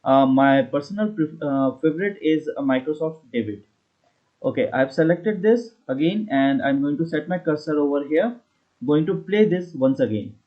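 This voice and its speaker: A man giving a casual step-by-step software tutorial as an instructor. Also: a little high, animated, moderate pace. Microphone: voice-over for screen recording. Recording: dull lo-fi voice recording.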